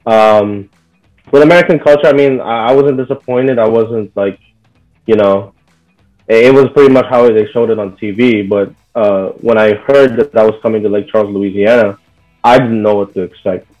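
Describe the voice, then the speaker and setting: A man's voice: lowish voice, normal speed, soft background music, poor audio. A young man from Bahrain being interviewed, talking casually with lots of "like" and "you know". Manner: relaxed, full of "like" and "you know" fillers. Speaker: interview guest.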